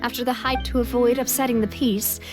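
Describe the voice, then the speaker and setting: Woman voicing a confident young lady boss in a game, high poised voice, frank but reassuring, music behind. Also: level tone, a little fast, natural voice. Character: confident, considerate young woman, president of an organization. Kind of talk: video game voice acting.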